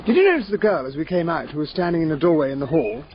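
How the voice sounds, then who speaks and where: An actor playing a loyal, plain-spoken gentleman sidekick in a radio drama, with an English accent. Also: fairly high pitch, lively intonation, racing, lo-fi sound, close to an everyday voice. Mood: appalled, curious.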